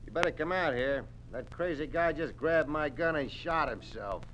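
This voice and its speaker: Matter-of-fact guard, male actor, higher pitch, 1950s radio acting, muffled recording. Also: normal speed, fairly bright tone, natural voice. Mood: urgent. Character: brusque armed guard, a male henchman. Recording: dull old radio recording, faint noise.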